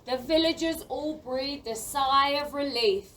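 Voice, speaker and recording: Fairy tale narrator, female: scripted storytelling, higher voice, recorded slightly far with light background noise. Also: measured pace, a bit bright.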